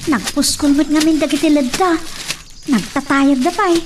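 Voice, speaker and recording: A woman's voice: sits high, normal speed, noisy background, natural voice. A woman playing a curious, chatty little village girl with an Ilocano accent in a radio drama.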